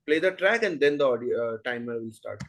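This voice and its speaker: A man's voice: mid-range voice, lively intonation, fairly quick. A man with an Indian English accent hosting an online quiz, reading questions steadily and chatting between answers. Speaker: quizmaster.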